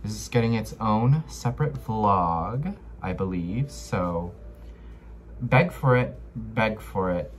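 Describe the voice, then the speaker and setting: A young American man talks casually to the camera in a bedroom, at a leisurely pace and medium pitch. He is slangy and leans into dramatic emphasis. Faint music plays behind him. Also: animated, takes it slowly. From Los Angeles, United States. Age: young adult. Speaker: book vlogger. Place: small bedroom, indoors.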